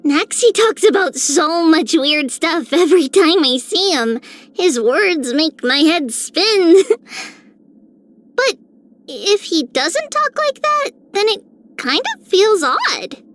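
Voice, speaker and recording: A woman's voice: very high-pitched, big pitch swings, slow pace, fairly bright tone, heavily acted. A woman voicing a little girl in a video game, cheerful, guileless and childlike in how she exclaims. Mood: puzzled, whiny. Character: little cheerful, guileless girl who gushes over friends. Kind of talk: video game character voice lines.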